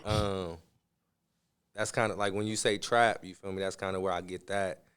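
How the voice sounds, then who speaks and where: A man's voice: low voice, flat delivery, moderate pace, fairly bright tone, smooth. A man from Southern California giving casual interview answers in a laid-back, slangy way. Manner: laid-back, slangy, often says "you feel me". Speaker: interview guest, musician and entrepreneur.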